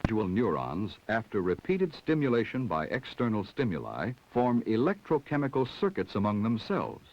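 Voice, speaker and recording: A man giving measured, scripted narration for an educational film about the brain. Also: on the low side, wildly swooping pitch, slight background noise. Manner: measured, explanatory. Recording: dull vintage 16mm film soundtrack.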